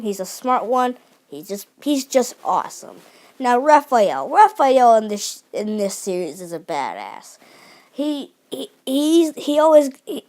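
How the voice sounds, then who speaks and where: A woman's voice: high-pitched, darkish tone. Enthusiastic young woman reviewing a cartoon. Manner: enthusiastic, many restarts and fillers. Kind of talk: unscripted video review.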